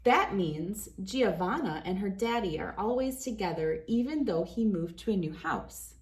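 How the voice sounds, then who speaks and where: Woman, read-aloud host, mid-pitched voice, children's picture book narration, expressive and deliberate phrasing.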